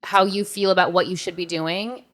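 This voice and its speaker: A young woman co-hosting a podcast in casual conversation, animated and given to acting out asides. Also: on the low side, normal speed. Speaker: podcast co-host.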